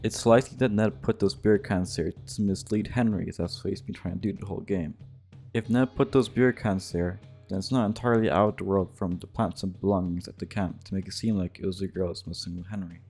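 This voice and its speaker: Male narrator, lowish pitch, casual scripted gaming commentary, irreverent delivery, guitar music bed. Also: fairly even intonation, a little fast, a little mellow. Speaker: video essay narrator. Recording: music behind the voice.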